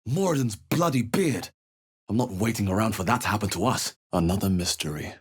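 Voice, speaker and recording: A man playing a wary, thoughtful, dry-humoured adventurer in a fantasy video game, speaking in short measured remarks with a husky voice. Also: fairly low pitch, animated. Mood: musing.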